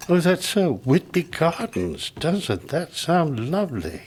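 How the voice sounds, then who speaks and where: A middle-aged lord of a grand estate in an audio drama. He speaks slowly and theatrically, with wide mocking swings of pitch over faint noise. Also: sing-song, a little mellow. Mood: scornful. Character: domineering aristocratic father, head of a grand estate.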